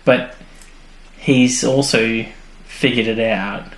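A man's voice: medium pitch, steady tone, slow, a bit bright. A man co-hosting a podcast, retelling television episodes in a casual, jokey, informal way. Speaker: podcast co-host. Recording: echoey room, faint music behind.

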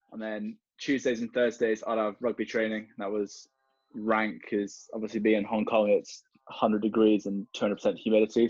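A young man chatting casually with friends in a relaxed, jokey way. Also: mid-range voice, no echo, highs cut off. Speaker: guest catching up with friends. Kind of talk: casual chat.

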